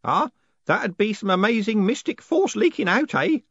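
A man doing a squeaky, eager old postman. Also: sing-song, normal speed, a bit bright, breathy edge, no echo, muffled recording, exaggerated voice. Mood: eager, desperate. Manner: high-pitched, eager, pleading.

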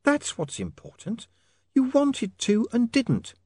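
A man voicing a brisk, earnest young woman in an audiobook, with a high feminine voice and urgent, coaxing, emphatic delivery. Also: huge pitch swings, darkish tone, husky, no room sound, full character voice. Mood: reassuring.

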